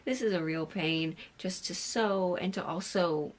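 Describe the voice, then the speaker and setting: A woman's voice: fairly low pitch, a little slow. A woman hosting a sewing vlog, talking in an informal, candid and self-deprecating way as she chats and narrates her project. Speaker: sewing vlogger and host.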